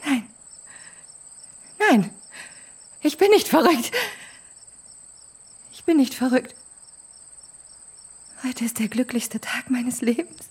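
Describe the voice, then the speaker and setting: A woman's voice: sits high, animated, slow pace, pauses often, breathy edge, heavily acted. An actress playing a fragile, volatile female film star in a German radio drama. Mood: overwhelmed, relieved.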